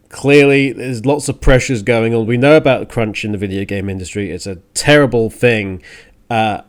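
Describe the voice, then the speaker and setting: Exasperated male radio host, halting delivery. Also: medium pitch. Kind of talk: casual opinionated podcast rant on games and film news.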